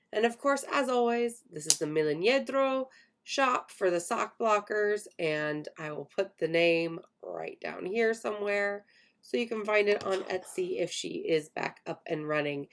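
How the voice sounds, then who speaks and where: A young woman in her late twenties hosts her podcast with a croaky, hoarse voice that still cracks after an illness. Her tone sits a little low and gravelly with plenty of fry, and she chats along in a friendly, self-deprecating way, laughing often. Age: 20s. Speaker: podcast host. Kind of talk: casual chat.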